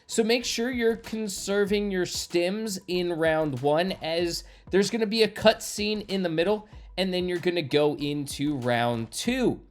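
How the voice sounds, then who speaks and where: A man giving scripted video game walkthrough commentary as a YouTuber, phrased as step-by-step instruction. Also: high voice. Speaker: gaming YouTuber. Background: game music.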